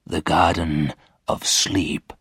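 A lonely old male ghost from a storybook, acted out by a man, weary and gentle. He speaks very low and slowly, with mournful formality and a husky grain. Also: fairly even intonation, no echo, studio quality, full character voice. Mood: wistful, solemn.

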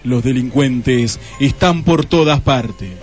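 An actor playing a grave, melodramatic narrator with an Argentine accent in a parody radio drama. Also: male voice, mid-range voice, takes it slowly, a little mellow, faint music behind, lightly acted. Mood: grave, portentous. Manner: mock-solemn, portentous.

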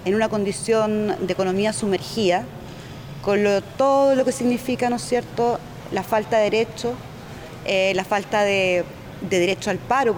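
A woman with a Chilean accent giving an informal public presentation, explaining in a halting way as she searches for words. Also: on the high side, noisy background. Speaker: domestic workers' union representative, speaker.

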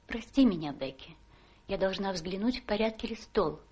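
An actress playing a gentle, anxious, devoted young wife in a radio play. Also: female voice, mid-range voice, animated, moderate pace, slightly rough. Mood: timid, uneasy.

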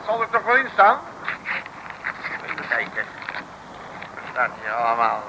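An actor playing a cheeky, grumbling working-class Dutch detective in an old comic radio serial, blustering in slang. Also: male voice, mid-range voice, big pitch swings, unhurried. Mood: alarmed, indignant. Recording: old radio recording with faint noise.

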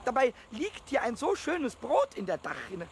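A man voicing a small, mischievous, impatient kobold who chatters excitedly to himself in a children's radio play. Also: extremely high pitch, moderate pace, a little hiss or hum, full character voice. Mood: restless grumbling.

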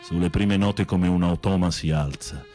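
A man playing a campy, low-voiced theatrical inmate. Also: normal speed, warm tone, faint music behind, natural voice. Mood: dreamy storytelling. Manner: florid, campy, teasing storytelling. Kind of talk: radio play acting.

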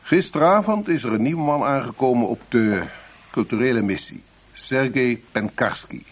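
An actor playing a curt, irritable intelligence chief in a Dutch radio play, giving orders in a businesslike, exasperated way. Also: male voice, mid-range voice, animated, normal speed, husky. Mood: grave, businesslike.

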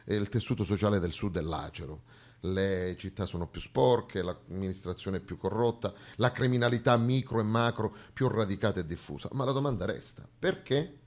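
A man's voice: on the low side, normal speed. Ironic man delivering a stage monologue. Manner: ironic, colloquial, rhetorical questions. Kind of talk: satirical theatre monologue to an audience. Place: theatre stage.

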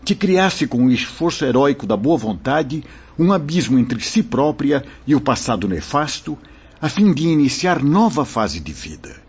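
Husky, expressive fatherly spirit mentor. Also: medium pitch, full character voice. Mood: solemn recounting. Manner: measured, earnest, formal preaching delivery. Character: fatherly male spirit mentor, gentle, solemn, reassuring. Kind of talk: radio drama acting. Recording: dull lo-fi radio drama recording.

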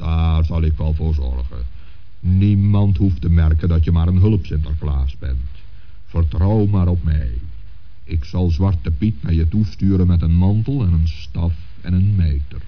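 Kindly old bishop saint, really low voice, stately n patient, kids radio play, muffled old tape. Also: male voice. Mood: reassuring. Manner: measured, reassuring, stately. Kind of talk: children's radio play acting.

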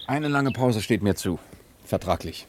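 Radio drama: deep-voiced thirties man, flirty but hesitant, faint background noise. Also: expressive, a little slow, darkish tone. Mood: flirting, coaxing. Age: 30s. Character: man in his mid-thirties, evasive, flirting part-time disc jockey. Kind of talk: German radio play dialogue.

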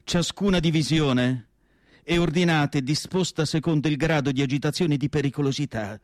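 A reflective, melancholic man of letters and asylum doctor, played by a male actor in a radio play. His voice sits a little high for a man and is recorded very dry. He recites his diary solemnly, in measured phrases with deliberate pauses. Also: no room sound, close to an everyday voice. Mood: reflective, recollecting. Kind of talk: literary radio drama monologue.

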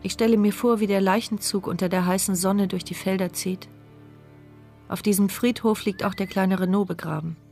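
An actress gives the inner monologue of a helpless woman in a radio thriller. The voice is creaky and inward, watchful and anxious, with soft music underneath. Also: mid-range voice, fairly even intonation, normal speed, natural voice. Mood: observant. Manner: inward, watchful, tense phrasing. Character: mute, helpless woman narrating her anxious inner thoughts. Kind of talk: first-person radio drama monologue.

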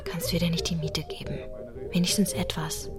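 An actress playing a tender, worried young pregnant wife in a German radio drama, gentle and earnest. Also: female voice, lowish voice, level tone, fairly quick, whispered, music in the background, lightly acted. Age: young adult.

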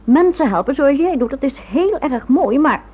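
An actress playing a genteel, caring wife in a Dutch radio drama, polite and solicitous, with a husky voice. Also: female voice, high-pitched, lively intonation, moderate pace. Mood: tender concern. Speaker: actor in a radio play. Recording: thin, phone-like old radio recording.

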